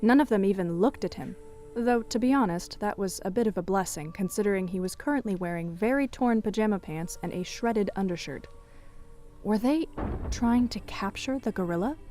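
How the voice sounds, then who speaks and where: A woman's voice: medium pitch, lively intonation, normal speed, mellow, soft background music. A woman narrating a scripted adventure story for a fan audio drama.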